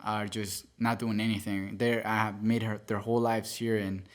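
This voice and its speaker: A man's voice: fairly low pitch, level tone, normal speed. A young man from El Salvador, a podcast guest, telling his story earnestly with frequent fillers and self-corrections. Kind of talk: casual interview storytelling.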